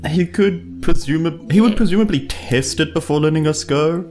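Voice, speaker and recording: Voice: mid-range voice, moderate pace, darkish tone. A player with a New Zealand accent chatting casually in a tabletop game, dry and matter-of-fact. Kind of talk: casual chat.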